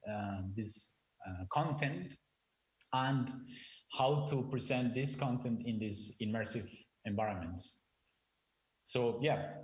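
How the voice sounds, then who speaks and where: A man's voice: medium pitch, darkish tone. A man giving a live conference presentation as a project presenter. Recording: echoey room, dull muffled sound.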